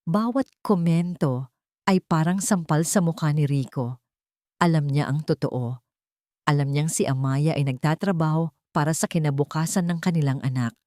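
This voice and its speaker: A woman's voice: deep voice, moderate pace, a little mellow, no echo, studio quality. A woman reading scripted dramatic narration as the storyteller of an emotional fiction tale.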